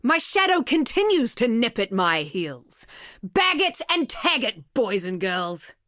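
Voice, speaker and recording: A woman's voice: on the high side, sing-song, slow, a bit bright, no room sound, clean studio sound, exaggerated voice. An actress playing a cruel, haughty female villain in a video game, with a silky, mocking and condescending manner. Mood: triumphant scorn.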